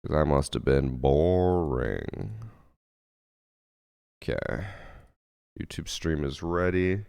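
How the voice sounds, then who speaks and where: Very deep, gravelly, sniffly male streamer. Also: sing-song, slow pace, dark tone, no echo, clean studio sound. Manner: laid-back, rambling, self-mocking. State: sniffly, congested from lack of sleep. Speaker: game streamer. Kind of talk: casual live-stream chat, reading chat aloud.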